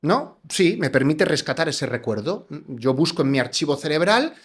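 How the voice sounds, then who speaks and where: Guy, slightly high voice, explains stuff on a podcast, lots of eh and no?. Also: sing-song, normal speed, breathy edge. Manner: hesitant, many fillers and rhetorical tags. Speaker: scientist guest. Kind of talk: explanatory interview talk.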